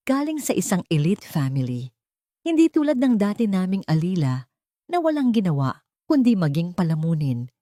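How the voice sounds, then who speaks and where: A woman's voice: medium pitch, dark tone, no room sound, studio quality, lightly acted. Woman acting a haughty, pompous rich older lady. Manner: pompous, mixes English into her speech. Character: haughty, scornful rich mother-in-law boasting to friends.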